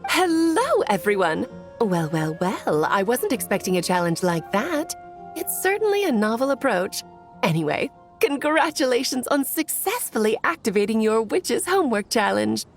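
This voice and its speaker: Breezy showy game witch, teasing and smug, highish lively voice, faint music. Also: moderate pace. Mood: breezy, playful. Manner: theatrical, teasing. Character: Alice, playful theatrical witch, breezy, smugly teasing. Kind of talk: video game cutscene voice acting.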